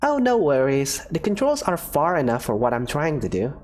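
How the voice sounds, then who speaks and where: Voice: mid-range voice, very animated, moderate pace, a little mellow, natural voice. A voice actor playing a cheerful, secretive woman professor who runs a daring experiment, talking in an upbeat, teasing way. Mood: explaining. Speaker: character voice in a fan visual novel. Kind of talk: visual novel voice acting.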